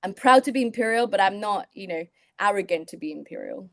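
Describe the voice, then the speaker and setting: A woman's voice: mid-range voice, animated, brisk, a bit bright, no room sound. A woman in her thirties from Taiwan, a native Mandarin speaker with a British English accent, talking as a guest in an interview with many restarts and fillers. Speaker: surgeon, guest. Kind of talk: interview conversation.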